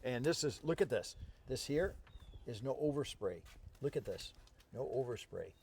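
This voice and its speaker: A man's voice: mid-range voice, animated, normal speed, vocal fry, a little mellow, a little hiss or hum. A man co-hosting as a car expert, giving casual hands-on commentary in short, repeated admiring phrases. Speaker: car show co-host, car expert.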